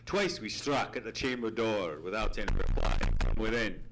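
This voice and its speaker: A man's voice: on the low side, animated, normal speed, over loud music, close to an everyday voice, rough recording. A man playing an earnest, easily horrified doctor narrating an old-time radio mystery in formal, theatrical style. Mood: tense, suspenseful. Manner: theatrical, suspenseful, formal storytelling. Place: theatre stage, live radio play.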